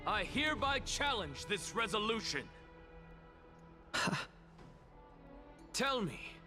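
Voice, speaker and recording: A man's voice: fairly high pitch, bright, forceful tone, full character voice. A man playing an arrogant, provocative scholar in a video game, speaking in a theatrical, mocking, self-assured way. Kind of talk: dramatic video game voice acting.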